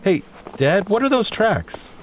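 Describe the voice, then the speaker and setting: A man's voice: sits high, sing-song, unhurried, a little hiss or hum. An actor playing a young adult son, playful and a little chiding toward his father. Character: caring grown son, playful and a bit worried.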